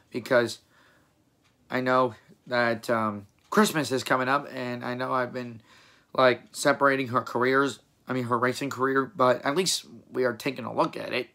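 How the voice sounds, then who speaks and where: A hoarse young man hosting a reaction video, rambling and correcting himself as he talks in a small room. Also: mid-range voice, bright, forceful tone. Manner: rambling, self-correcting, chatty. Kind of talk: casual reaction commentary.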